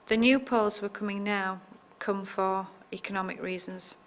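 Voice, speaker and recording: Flat-toned middle-aged woman. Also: medium pitch, normal speed, slight background noise. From England. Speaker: Polish school teacher. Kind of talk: oral history interview.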